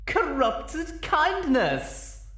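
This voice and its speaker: Guy doing a shrill bragging goddess pony lady, high and drawn out. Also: wildly swooping pitch, drawn-out words, bright, forceful tone, roomy sound, far from the mic, exaggerated voice. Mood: triumphant, accusing. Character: boastful, shrill, accusing self-proclaimed goddess mare.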